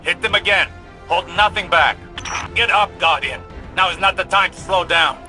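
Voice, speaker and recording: An actor playing an older scholarly mentor in a video game, intense and demanding, with formal, measured, philosophical speech. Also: male voice, high-pitched, sharp tone, soft background music. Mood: urgent, commanding.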